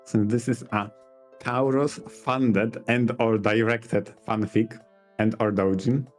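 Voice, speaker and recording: A man's voice: on the low side, steady tone, takes it slowly, darkish tone. A man talking casually as a podcast co-host, hesitant and thinking aloud as he goes. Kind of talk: casual podcast chat about visual novels. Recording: music behind the talk. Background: background music.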